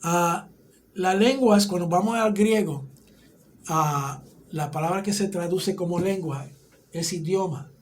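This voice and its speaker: Un hombre con acento puertorriqueño que enseña una clase bíblica, explicando paso a paso y preguntando "¿ok?" a menudo. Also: male voice, sits high, slow, slight background noise. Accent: Puerto Rican Spanish. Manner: explanatory, often checks in with "¿ok?". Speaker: Bible teacher. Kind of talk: teaching a Bible class.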